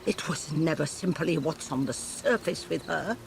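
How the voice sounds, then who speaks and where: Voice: medium pitch, normal speed, vocal fry, background noise, natural voice. An actor voicing an ancient talking owl in a video game, wry and cryptic, with folksy, theatrical musing. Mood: hushed foreboding. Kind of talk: video game cutscene acting.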